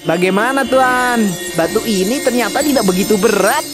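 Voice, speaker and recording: A man's voice: very high-pitched, moderate pace, music in the background. A man playing a humble, brave young wanderer in a children's folktale drama, speaking politely and earnestly. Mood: confident.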